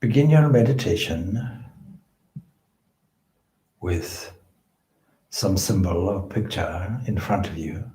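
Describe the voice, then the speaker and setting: Low-voiced man guiding meditation, slow and echoey. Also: animated, dark tone. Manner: measured, pausing between short phrases. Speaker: meditation teacher, swami. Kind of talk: guided meditation instruction. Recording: echoey room.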